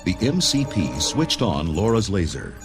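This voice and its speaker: A deep-voiced man narrates a recorded adventure tale. His dramatic scripted delivery is very lively in intonation, carried over prominent background music. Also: measured pace. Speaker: storybook narrator.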